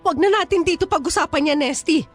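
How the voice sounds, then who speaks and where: A woman's voice: very high-pitched, a little fast, soft background music. A woman acting an earnest, pleading married teacher in a Filipino radio drama, persuasive and clingy. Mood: defensive pleading.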